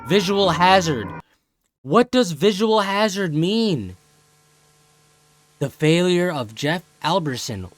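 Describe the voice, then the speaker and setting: A man's voice: high voice, lively intonation, slow, pauses often, faint music behind. A young American man giving casual, joking reaction commentary in exclamatory slang. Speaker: host of a reaction channel.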